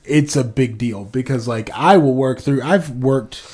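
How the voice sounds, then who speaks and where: A man's voice: mid-range voice, measured pace, a little mellow, breathy edge. Congested man, casual podcast co-host. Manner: swearing, rambling with restarts. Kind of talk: casual chat.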